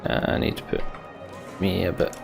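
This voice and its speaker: A man's voice: unhurried, over music, a crowd in the background. A young man thinking aloud as he plays a game, muttering to himself. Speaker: let's play host. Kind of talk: gameplay commentary.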